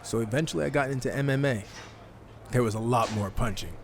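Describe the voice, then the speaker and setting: A man's voice: mid-range voice, brisk, a little hiss or hum, natural voice. A man playing a confident, wry American fighter turned rookie wrestler in a video game cutscene, with a dry manner. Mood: earnest, confident. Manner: self-assured, dry.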